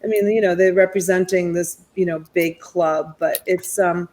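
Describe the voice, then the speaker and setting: A woman's voice: fairly low pitch, steady tone, normal speed, darkish tone. A middle-aged woman, born in Italy with Italian as her first language, hosting a casual live chat and telling a personal story in a rambling way, full of fillers and restarts. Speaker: host.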